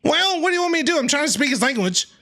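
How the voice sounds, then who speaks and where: Animated, bright-voiced male game streamer. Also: very high-pitched, rapid-fire, slight background noise. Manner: animated, exclamatory, joking. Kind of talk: casual playthrough commentary.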